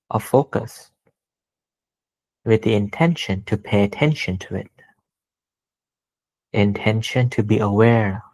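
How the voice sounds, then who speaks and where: A man leading a guided meditation as a teacher, speaking gently and deliberately with pauses between short phrases. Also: fairly low pitch, poor audio.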